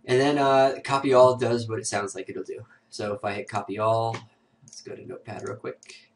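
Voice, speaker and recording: A man with a raspy, cold-roughened voice, sniffling now and then, hosting a casual screen-recorded software tutorial full of "uh" and "um". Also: medium pitch, fairly even intonation, moderate pace, far from the mic, slight background noise. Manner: casual, filler-heavy walkthrough with many "uh" and "um". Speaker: host of a security tutorial show.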